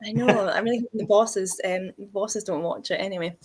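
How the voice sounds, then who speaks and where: A woman hosting a relaxed chat, telling lively stories and laughing often. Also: mid-range voice, fairly quick, husky. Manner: chatty storyteller, laughs often. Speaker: whisky shop host. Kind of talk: casual chat.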